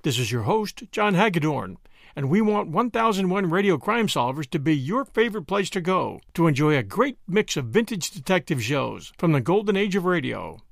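Animated, creaky-voiced male podcast host. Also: on the high side, normal speed, no room sound, clean studio sound. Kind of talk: scripted podcast introduction.

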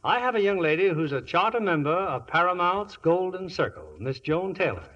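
High-pitched American male radio host. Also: lively intonation, normal speed, a bit bright, dull audio. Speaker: host and narrator. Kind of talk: 1950s radio show hosting, scripted. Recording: band-limited old radio broadcast.

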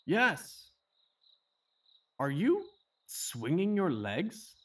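A man's voice: sits high, sing-song, extremely slow, breathy edge, heavily acted. An actor playing a loyal, plain-spoken male doctor and companion in a dramatised audiobook, answering tersely. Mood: calling out.

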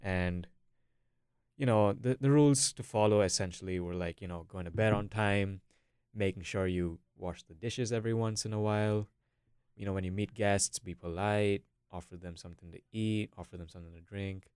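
This is a young man from India with a deep voice and a smooth, clear tone. He is a student guest on a podcast, talking casually in a dry recording space. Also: moderate pace, a little mellow, no room sound. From Bangalore, India. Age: late teens. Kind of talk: casual interview talk.

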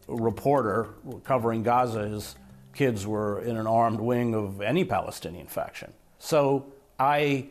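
Ex-correspondent guy in an interview, pretty forceful and fed up, faint music behind. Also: medium pitch.

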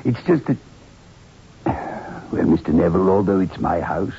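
An actor playing a superstitious, courteous old widower in a 1960s radio horror drama, speaking gravely and hesitantly. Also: male voice, low voice, lively intonation, moderate pace, exaggerated voice. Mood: grave, foreboding. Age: older. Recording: dull, noisy old radio recording.

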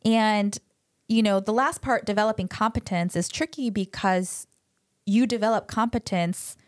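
A woman's voice: medium pitch, normal speed, no echo, clean studio sound. A woman from the United States, co-hosting a podcast and giving chatty parenting advice full of "like" fillers. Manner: chatty, many "like" fillers, voices quotes animatedly.

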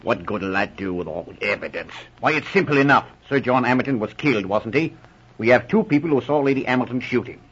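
Pigheaded english country cop, working-class accent, husky, rattles it off, muffled old radio drama sound. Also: male voice, mid-range voice, lively intonation, fast, a bit bright. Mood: skeptical, gruff. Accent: English, rustic working-class. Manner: argumentative, gruff, ungrammatical. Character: stubborn, blustering English police superintendent of thirty years' service. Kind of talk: 1940s radio drama acting.

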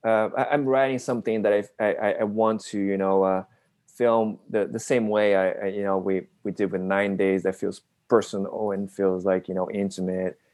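A man's voice: lowish voice, flat delivery, moderate pace, a little mellow. A man from Brazil, a film writer-director answering interview questions in a hesitant way, with many restarts and small laughs.

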